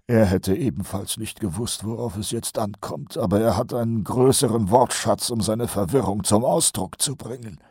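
Guy doing a grumbly reluctant older watch sergeant, husky, grudging bluster, audiobook, no room sound. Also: fairly low pitch, moderate pace, few pauses, a little mellow, no echo, clean studio sound, voice pushed up. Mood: gloomy, doubtful. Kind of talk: audiobook character voice.

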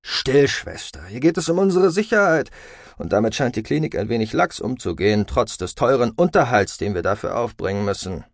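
Man acting a lively, commanding, sardonic man. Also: mid-range voice, brisk, no echo, clean studio sound. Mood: stern. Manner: sardonic, stern, in control. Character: brash, commanding young man, impatient and smugly reassuring.

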